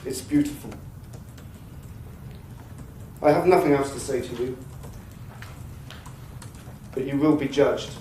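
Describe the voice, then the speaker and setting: A man reading a victim statement in a measured, defiant, bitterly sarcastic manner. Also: medium pitch, warm tone. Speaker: attack survivor giving a victim impact statement. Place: courtroom. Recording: very echoey courtroom from a distance, faint noise.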